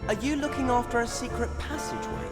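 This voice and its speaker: An actor playing a polite but stubborn teenage schoolboy hero in a video game, speaking in short, plain replies. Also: male voice, extremely high pitch, fairly even intonation, fast, loud music behind the voice. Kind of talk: video game character acting.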